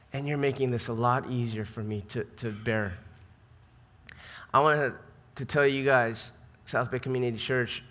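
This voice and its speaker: A man's voice: medium pitch, fairly bright tone. An American man preaching a sermon as a pastor, speaking in an earnest, conversational way with emphatic repetition. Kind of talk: sermon to a church congregation. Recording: reverberant hall sound.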